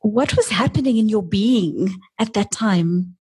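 A woman interviewing a guest, asking gentle, affirming, leading questions. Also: mid-range voice, a little slow, breathy edge.

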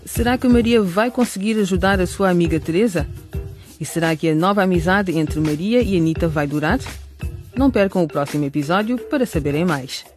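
Radio drama announcer, male, high register, scripted read, light music underneath. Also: brisk. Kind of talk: scripted narration.

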